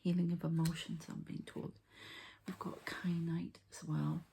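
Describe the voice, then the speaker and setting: Woman, low-pitched, gravelly whisper, ASMR healer, unhurried halting delivery, slight background noise. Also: level tone. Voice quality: whispery, breathy soft voice. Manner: unhurried, halting, thinking aloud while handling objects. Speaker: host, energy healer and card reader. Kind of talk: ASMR, softly spoken ritual healing session.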